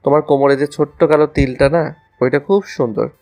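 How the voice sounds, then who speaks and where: একজন পুরুষের অভিনয়ে দুষ্টু, রোমান্টিক কলেজপড়ুয়া ছেলে, পেছনে বাজনা. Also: male voice, fairly high pitch, fairly even intonation, brisk, warm tone, faint music behind, lightly acted. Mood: playful, mock-innocent. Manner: playful, mock-innocent, teasing. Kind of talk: audio romance story acting.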